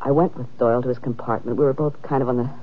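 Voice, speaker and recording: An actress playing a brash, world-weary schemer who talks in sardonic slang, in an old-time radio crime drama. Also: female voice, a bass voice, steady tone, very fast, slight background noise. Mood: grudging confession. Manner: sardonic, slangy tough-dame talk. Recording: muffled old radio recording.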